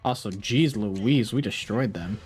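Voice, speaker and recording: A man's voice: lowish voice, a little slow, darkish tone, faint music behind. A man live-streaming a game, chatting casually, swearing now and then, reacting to play and reading chat aloud, a bit sniffly.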